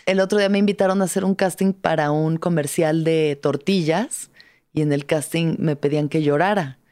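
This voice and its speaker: A woman's voice: low-pitched, moderate pace. A woman from Mexico, a stand-up comedian and actress, telling her story in a casual conversation. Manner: lively storyteller, acts out her own past words.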